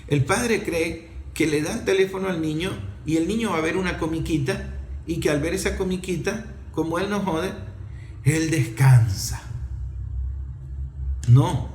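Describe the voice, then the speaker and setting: Venezuelan man, middle-aged, slightly high voice, emphatic and scolding, impassioned commentary, echoey room. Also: a little slow, pauses often, a little mellow, a little hiss or hum. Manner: emphatic, scolding, rhetorical questions. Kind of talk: impassioned opinion talk.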